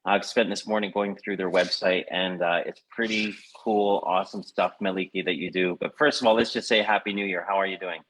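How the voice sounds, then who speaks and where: A man hosting a casual live-stream interview, informal and halting, often rephrasing as he goes. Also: low-pitched, fairly even intonation, a bit bright, rough recording. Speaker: interview host.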